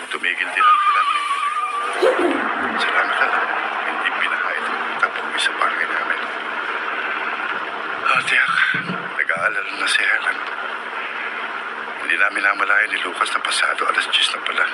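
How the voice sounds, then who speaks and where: A kind-hearted Filipino office worker in a radio drama. His lowish voice moves expressively with protective concern, amid heavy vehicle and street noise. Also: wildly swooping pitch, normal speed, long pauses. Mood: musing, concerned.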